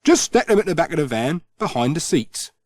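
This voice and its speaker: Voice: medium pitch, sing-song, brisk, no echo, clean studio sound, pitched above the performer's own voice. A storyteller voicing a friendly, helpful man who runs a travelling shop. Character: friendly helpful male van shopkeeper.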